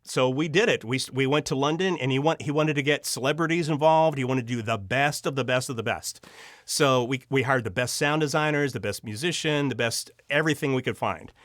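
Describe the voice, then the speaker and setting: A man's voice: mid-range voice, a little fast, a bit bright, no room sound, clean studio sound. A man speaking as an interview guest, an audio drama writer and director who tells anecdotes with gushing enthusiasm and acts out the dialogue. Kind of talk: animated anecdotal storytelling.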